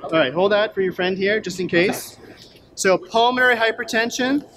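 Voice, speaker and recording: A man's voice: high voice, normal speed. A man hosting jokey quiz interviews with a handheld mic in a conference center hallway. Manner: jokey, upbeat, chatty. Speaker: roving interviewer and quiz host. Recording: loud hallway background noise.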